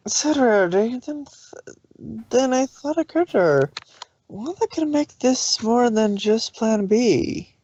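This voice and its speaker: A woman narrating fan fiction as an expressive story reading. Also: on the high side, slow pace. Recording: slightly dull home recording.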